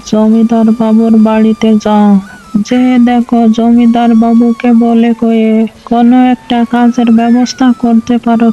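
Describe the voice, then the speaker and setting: A woman acts out a gentle village housewife in a cartoon folk tale. Her voice is very mellow and even, with a soothing, practical manner. The recording is dull, with music behind. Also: a little high, natural voice. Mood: reassuring.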